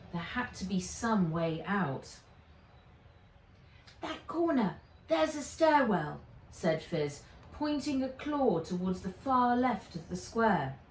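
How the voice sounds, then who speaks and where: A woman reading a children's adventure story aloud with dramatic, expressive narration. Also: medium pitch. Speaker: author reading own book aloud. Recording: roomy, distant microphone.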